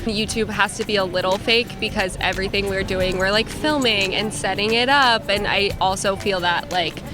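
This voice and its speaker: A woman's voice: medium pitch, moderate pace. A young woman chatting playfully and giggling outdoors in a city, joking about herself. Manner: playful, giggly, self-deprecating. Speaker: guest creator. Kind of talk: casual chat. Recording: music clearly under the voice.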